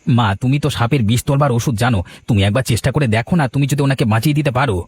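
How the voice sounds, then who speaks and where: Quick-talking, earnest village man by an actor. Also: medium pitch, darkish tone, close to an everyday voice. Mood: urgent persuasion. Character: earnest, kind-hearted village father and son. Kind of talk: amateur cartoon voice acting.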